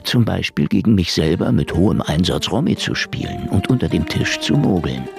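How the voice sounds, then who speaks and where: An actor playing a cynical private detective in a noir radio play, sardonic, tough-talking and menacing. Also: male voice, sits low, very animated, moderate pace, vocal fry, a little mellow, exaggerated voice. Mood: wry, resigned. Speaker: lead actor and narrator. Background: music.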